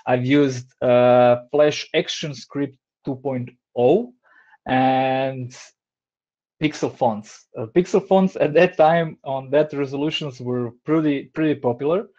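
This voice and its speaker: A man's voice: mid-range voice, takes it slowly, lo-fi sound. Croatian-accented man on a video call. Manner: relaxed, hesitant with many fillers. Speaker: design lead giving a talk. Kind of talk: online presentation. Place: home room, indoors. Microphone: headset on a video call.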